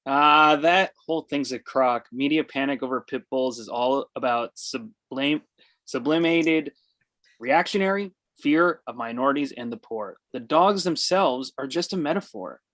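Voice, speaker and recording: A man's voice: medium pitch, a little slow, fairly bright tone, no room sound, close to an everyday voice. A man playing an opinionated, grumbling character in a comedy table read.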